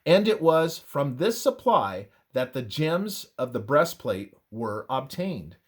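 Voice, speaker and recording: Slow, expressive male narrator. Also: medium pitch, breathy edge. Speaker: narrator reading a religious history presentation. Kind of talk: scripted narration, read aloud.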